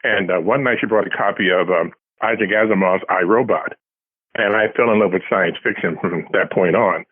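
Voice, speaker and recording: A man's voice: deep voice, moderate pace, slightly rough, no echo. An older man, a guest author in an interview, talking casually and telling stories in a conversational way over a narrow phone line.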